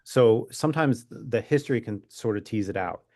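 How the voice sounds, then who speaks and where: A man's voice: mid-range voice, brisk, darkish tone, dry recording, studio quality. A man speaking as a physician guest expert in an interview, explaining in an informal, conversational way with wry humor.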